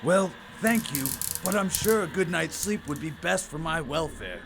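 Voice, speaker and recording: An actor playing a crotchety, fearful old miser in an audio drama, with a querulous, sputtering way of talking. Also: male voice, a little high, expressive, normal speed, creaky, noisy background. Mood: wary, querulous. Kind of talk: audio theater acting.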